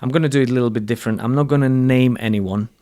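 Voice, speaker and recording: A man's voice: medium pitch, racing, dark tone. A man with a Slovak accent hosting a podcast interview, talking bluntly and earnestly with frequent restarts and some swearing. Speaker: podcast host. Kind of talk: casual interview conversation.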